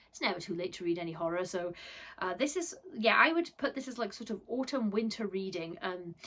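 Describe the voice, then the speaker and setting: A woman's voice: medium pitch, a bit bright. A woman from Wales giving a casual, rambling book review with lots of fillers and a bashful, self-deprecating manner. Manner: chatty, rambling, many fillers.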